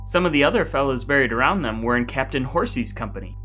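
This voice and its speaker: A man with a bright, forward voice hosts with playful mock grandeur. His phrasing is wordy and comic, sitting at an ordinary male pitch. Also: animated, fast, faint music behind. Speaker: podcast host. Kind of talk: casual comic banter, partly dramatic reading of texts.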